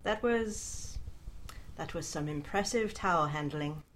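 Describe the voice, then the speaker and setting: A woman's voice: low voice, a little slow, fairly bright tone, slight background noise. A woman voicing an awkward, nervous, polite man in a story reading, hesitant and stammering. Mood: shyly pleased. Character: awkward, nervous, polite Englishman, reluctantly fond.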